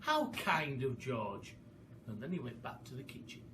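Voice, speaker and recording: A man reading a children's story aloud as a storyteller, in an expressive, dramatic and emphatic manner. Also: mid-range voice, moderate pace. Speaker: storyteller, primary school teacher. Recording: far from the mic, faint background noise.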